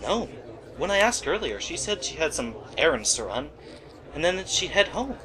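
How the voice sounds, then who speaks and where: A man's voice: fairly high pitch, big pitch swings, moderate pace, background noise. A man playing an anxious young store clerk in an amateur radio play, earnest, agitated and defensive.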